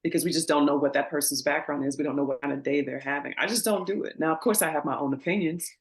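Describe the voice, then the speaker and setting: Woman dentist guest, rapid and emphatic delivery. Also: sits low. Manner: fast, forthright, emphatic. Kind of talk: casual panel chat.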